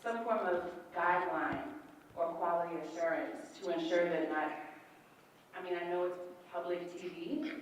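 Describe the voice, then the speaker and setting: A woman in the audience of a public meeting, asking polite, rambling questions into a handheld mic. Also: lowish voice. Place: large echoey meeting hall. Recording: echoey hall audio from far off, faint noise.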